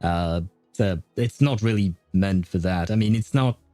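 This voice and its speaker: Male livestream host, relaxed and dark-toned, jokey, hesitant conversational delivery over faint background music. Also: deep voice, measured pace, no room sound. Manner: rambling, hesitant, jokey, often chuckling. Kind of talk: casual gaming livestream chat, reading and answering chat messages.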